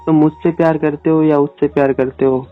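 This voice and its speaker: A young man playing a jealous, sulking boyfriend in a casual, halting phone-call argument. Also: fairly high pitch, flat delivery, close to an everyday voice. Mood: jealous, hurt. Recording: tinny phone-call sound under music.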